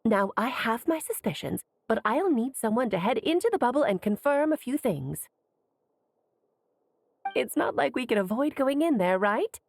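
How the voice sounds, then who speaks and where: A woman's voice: a little high, expressive, moderate pace, vocal fry, a little mellow. A woman voicing a playful, self-assured female mage in a video game, breezy and genteel yet commanding. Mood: determined. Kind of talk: animated game dialogue.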